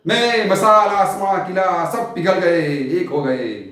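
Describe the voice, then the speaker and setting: Echoing room, male stage actor as brooding eccentric sultan, slow declamatory delivery, poetic diction, world-weary grandeur. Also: sits high, fairly bright tone. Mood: nostalgic, rapt. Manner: theatrical, declamatory, with long dramatic pauses and swells. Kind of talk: Hindi stage play monologue, poetic Urdu diction. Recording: very echoey, reverberant room.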